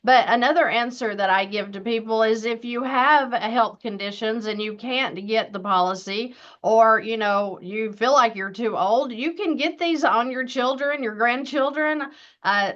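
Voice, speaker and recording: A woman's voice: on the high side, a little slow, fairly bright tone. A woman in her fifties hosting a casual interview chat, talking in a chatty, upbeat way with some restarts. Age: 50s. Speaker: host. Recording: dull lo-fi sound.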